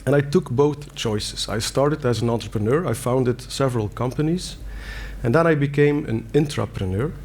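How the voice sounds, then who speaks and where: A man's voice: medium pitch, normal speed, darkish tone, slight background noise. A middle-aged man from Belgium, a native Dutch speaker with a Belgian Dutch accent, giving an earnest conference talk in plain words with fillers and restarts. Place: conference hall.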